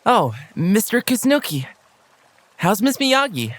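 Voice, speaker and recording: A woman's voice: medium pitch, sing-song, unhurried, dry recording. A woman voicing a casual, polite young boy in an amateur manga dub. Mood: curious, doubtful.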